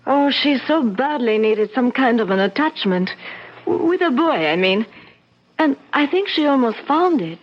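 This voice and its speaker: A coy student. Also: female voice, sits high, expressive, moderate pace. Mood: demure. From France. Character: young female foreign student, admiring, coy. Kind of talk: 1970s radio drama acting. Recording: dull old radio broadcast.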